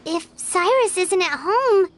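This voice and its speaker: A tiny, excitable game fairy with an extremely high, squeaky, childlike voice. The delivery is chatty and swings widely in pitch. There is clear noise with some clicking behind it. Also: female voice, slow pace, full character voice. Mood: worried, chatty. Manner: refers to self in third person, chatty. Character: small excitable childlike floating fairy companion, female. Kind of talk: video game voice acting.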